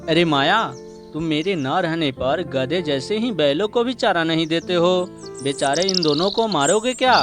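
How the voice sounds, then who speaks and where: A man's voice: fairly high pitch, lively intonation, normal speed, loud music behind the voice, lightly acted. A man playing a poor, hardworking village farmer and husband, earnest and plain-spoken, in a moral story cartoon. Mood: reproachful.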